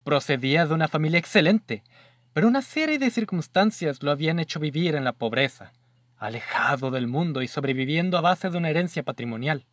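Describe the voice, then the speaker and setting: A man playing an earnest young gentleman who narrates and is easily astonished, in a student radio drama. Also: a little high, dry recording. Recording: dry voice over soft music.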